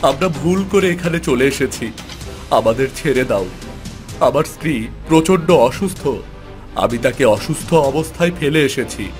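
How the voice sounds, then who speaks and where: A man's voice: mid-range voice, expressive, measured pace, soft background music, lightly acted. A man playing a frightened married man trapped in a cave, imploring dramatically in a fairy tale cartoon. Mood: pleading.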